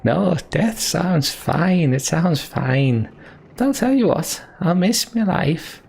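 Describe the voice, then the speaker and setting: A man's voice: on the high side, expressive, slow, vocal fry, a little mellow, breathy edge, pitched above the performer's own voice, exaggerated voice. A man voicing a crude, world-weary fantasy warrior in a video game, blunt and bantering. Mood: wry, resigned.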